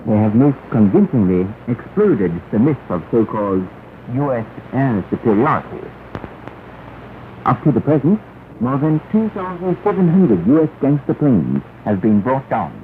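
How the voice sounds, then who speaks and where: A man announcing 1960s propaganda news on the radio, formal and emphatic, phrasing deliberately. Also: very animated. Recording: noisy 1968 shortwave radio, narrow band, rumble.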